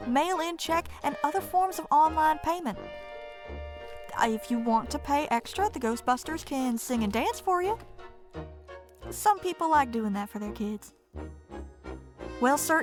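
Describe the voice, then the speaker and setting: Woman as a high-voiced, dryly polite receptionist. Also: close to an everyday voice. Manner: patient customer-service delivery, deadpan. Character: polite, dryly sardonic female receptionist at a ghost-catching business. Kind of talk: audio drama acting.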